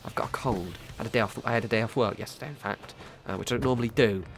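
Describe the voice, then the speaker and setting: A man's voice: lowish voice, very fast. A man with a stuffy nose from a cold, giving rambling, casual gameplay commentary with plenty of self-corrections and offhand swearing. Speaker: gaming video creator.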